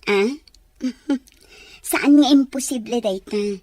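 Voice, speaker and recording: A woman's voice: fairly high pitch, slow, a little mellow, no echo. A woman playing a doubtful young woman who questions her friend in a musing way in an audio drama.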